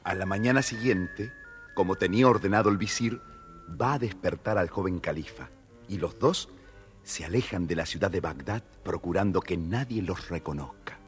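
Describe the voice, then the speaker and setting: A man's voice: deep voice, normal speed, soft background music. A man narrating a scripted children's tale expressively, as the storyteller of a record.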